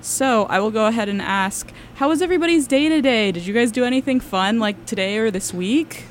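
A woman's voice: fairly high pitch, a little fast, slight background noise. A young woman hosting student radio from the board, playful and self-mocking. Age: young adult.

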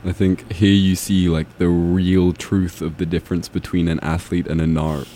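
Young guy w london accent, really low mellow voice, jokey radio chat, faint hiss. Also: level tone, normal speed. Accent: London English. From Austria. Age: young adult. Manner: laughs often, joking. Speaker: student radio co-host. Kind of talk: casual chat.

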